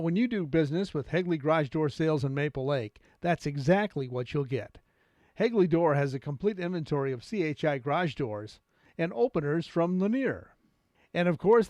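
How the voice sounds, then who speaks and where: A man's voice: a little high, a little mellow, dry recording. An American man delivering a conversational scripted radio commercial.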